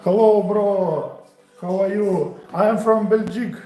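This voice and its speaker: A man's voice: high-pitched, slow, warm tone, faint music behind. Ukrainian-accented man in his forties, echoey room. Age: 40s. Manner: casual, friendly, answers chat questions off the cuff. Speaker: boxing coach. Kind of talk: live stream chat answering viewers. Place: room at home.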